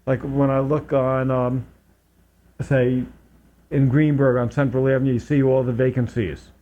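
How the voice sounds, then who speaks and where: A man's voice: mid-range voice, flat delivery, measured pace, warm tone. A man hosting a local public access talk show, talking casually with many fillers and restarts. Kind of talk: casual interview chat. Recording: dull lo-fi public access audio.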